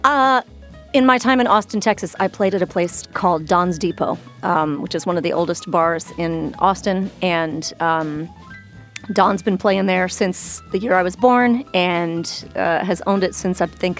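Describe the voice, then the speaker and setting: Musician woman, Midwest-raised, relaxed storyteller in a podcast interview; sits a bit low, soft music under. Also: moderate pace. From Midwestern United States. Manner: chatty, storytelling. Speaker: bar co-owner and musician. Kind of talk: casual interview answers.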